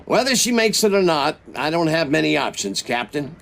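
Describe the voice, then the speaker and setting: An actor playing a seasoned older navy admiral giving brisk, authoritative orders in a video game cutscene. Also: male voice, a little high, big pitch swings, gravelly, fairly bright tone, exaggerated voice. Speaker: admiral briefing a captain.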